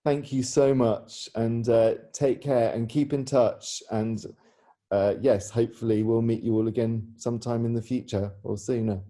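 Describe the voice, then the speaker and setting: A man's voice: mid-range voice, fairly even intonation, moderate pace, warm tone. A man interviewing informally on a video call in an encouraging, slightly rambling and hesitant way. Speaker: interviewer, theatre practitioner.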